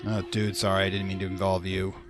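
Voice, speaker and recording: A man's voice: deep voice, monotone, fast talker, over music. A man hosting a let's play with casual commentary and wry, easygoing asides. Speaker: gaming host.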